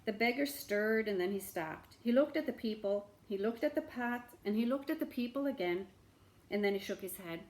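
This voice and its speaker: A woman's voice: mid-range voice, lively intonation, moderate pace, a little mellow, low-quality recording. A woman storyteller reading a children's book aloud expressively, with asides to her listeners.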